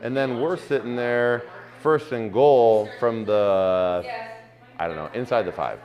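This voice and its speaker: A man in his forties, a former football player co-hosting a casual sports podcast, joking around and calmly breaking down game plays step by step. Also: medium pitch, measured pace, highs cut off. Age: 40s.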